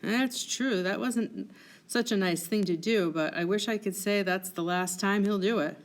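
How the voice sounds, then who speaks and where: A woman voicing a scheming male officer in a play read aloud, measured and insinuating, feigning reluctance. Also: medium pitch, lightly acted. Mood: feigned reluctance.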